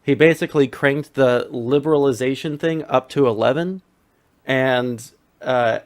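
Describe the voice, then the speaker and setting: A man's voice: medium pitch, measured pace. A man debating on a voice call, asking questions casually with frequent restarts.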